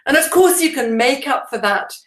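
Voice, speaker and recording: A woman giving an instructional presentation to teachers as a trainer, explaining in a measured way with deliberate emphasis. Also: sits high, expressive, fairly bright tone, reverberant, slight background noise.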